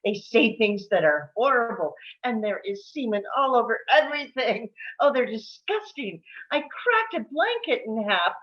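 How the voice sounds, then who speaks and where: A woman's voice: on the high side, very animated, normal speed, few pauses, highs cut off. A woman playing a tired, blunt housewife and mother in her thirties, emphatic and crude, in a comedy table read. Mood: disgusted, exasperated.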